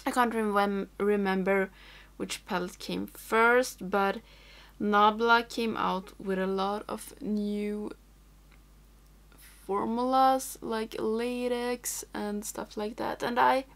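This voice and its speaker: A woman's voice: mid-range voice, steady tone, slow. A young woman who speaks English as a second language, chatting through a makeup tutorial at home, rambling and thinking aloud, often dropping to a whisper as she works. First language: not English. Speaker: makeup vlogger. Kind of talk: casual tutorial chat. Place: small room at home with makeup shelves.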